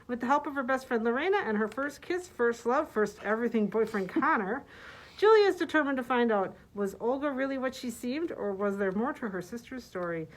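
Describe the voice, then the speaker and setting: A woman's voice: a little high, lively intonation, a little fast, low-quality recording. A woman librarian recommending books, partly reading their summaries aloud. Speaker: librarian presenting book picks.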